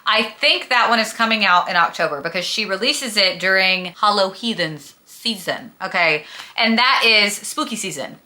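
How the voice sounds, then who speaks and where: Bright forceful lady doing a chatty book vlog, super dramatic n gushy, slangy. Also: mid-range voice, animated, moderate pace. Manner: gushing, dramatic, emphatic, slangy. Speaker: book vlogger.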